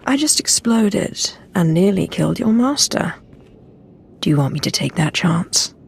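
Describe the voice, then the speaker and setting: An actress playing a composed, imperious female Sith lord in a game, with a dry, quietly menacing manner. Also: fairly low pitch, sing-song, a little slow, vocal fry, breathy edge, exaggerated voice. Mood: dry threat.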